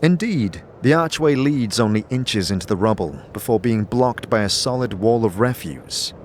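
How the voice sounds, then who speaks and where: A man narrating a fantasy audio drama in the second person, with deliberate, theatrical storytelling. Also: on the low side, lively intonation, a little hiss or hum. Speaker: narrator and game master. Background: music bed.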